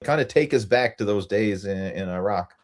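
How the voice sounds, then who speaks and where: A man's voice: fairly low pitch, normal speed, noisy background. Easygoing male podcast host. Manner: easygoing, friendly, with fillers. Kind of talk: casual interview talk.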